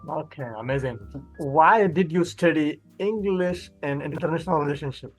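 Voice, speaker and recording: A man's voice: a little high, normal speed. Pakistani man hosting on a headset. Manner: plain, loosely built questions. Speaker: host. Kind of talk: casual interview over video call. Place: home room with bookshelves. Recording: faint background music.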